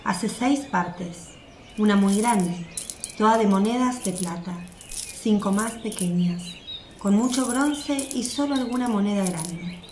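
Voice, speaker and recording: A woman's voice: mid-range voice, slow, darkish tone. A woman reading scripted narration for a radio drama. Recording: roomy sound with faint noise. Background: birdsong, outdoor ambience.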